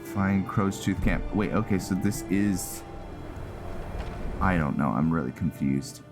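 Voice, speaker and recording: A man's voice: low-pitched, measured pace, music in the background. A man giving casual, easygoing gameplay commentary, thinking aloud as he plays. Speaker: gamer narrating playthrough.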